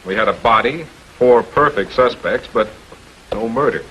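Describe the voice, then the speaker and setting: An actor playing a cool, authoritative public prosecutor in a 1940s American TV crime drama, measured, probing and businesslike. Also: male voice, medium pitch, fairly bright tone, lightly acted. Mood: narrating. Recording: dull, noisy 1940s film soundtrack.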